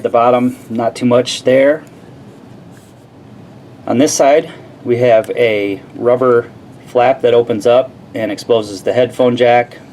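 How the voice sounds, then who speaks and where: A man's voice: mid-range voice, a little mellow. A man giving a casual, plain-spoken product review and demonstration in an informal way. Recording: clearly noisy background.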